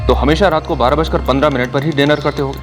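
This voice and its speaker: An actor playing a pressing, businesslike and sceptical police investigator in a TV crime drama. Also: male voice, a little high, steady tone, fast, faint music behind, close to an everyday voice. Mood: suspicious.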